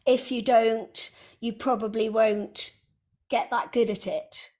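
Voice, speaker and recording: A woman's voice: a little high, steady tone, takes it slowly, darkish tone. A woman hosting a casual interview podcast, upbeat and chatty. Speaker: podcast host.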